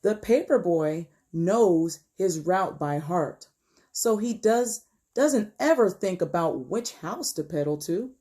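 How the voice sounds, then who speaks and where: A woman teacher reads a children's picture book aloud at a slow, careful pace. Her voice is mellow and swings widely in pitch, with a gentle storytelling manner that invites young listeners in. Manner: expressive, gentle storytelling for young children, often dropping to a hush. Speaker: elementary school teacher reading aloud. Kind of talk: picture book read-aloud with questions to pupils.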